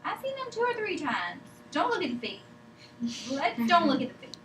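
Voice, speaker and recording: A woman's voice: very high voice, huge pitch swings, moderate pace, a bit bright, full character voice. A woman acting a stubborn, evasive, sick woman patient in a drama table read, argumentative and rambling. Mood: agitated, evasive. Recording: echoey room, distant mic, faint noise.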